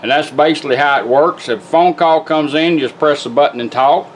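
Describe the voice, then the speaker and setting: A man's voice: on the high side, fairly quick, noisy background. A middle-aged man with a Southern American accent gives a casual, plain-spoken hands-on product demonstration, explaining it step by step. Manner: folksy, plain-spoken, instructional. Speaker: car radio builder demonstrating product. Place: indoor workbench. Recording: music playing behind the voice. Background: music and radio from demonstrated car stereo.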